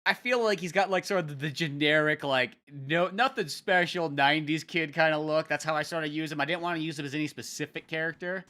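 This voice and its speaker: A young man co-hosting a podcast, casually reading through a comic with profane, animated storytelling and acted-out lines. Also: on the high side, quick pace, few pauses. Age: young adult. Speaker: podcast co-host and comic creator. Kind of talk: casual comic read-along commentary.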